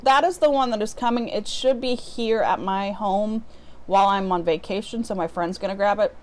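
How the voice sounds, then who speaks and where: A woman with a slightly low voice talks straight to her viewers like a book vlogger. Her delivery is casual and chatty, with a self-deprecating, informal way of putting things.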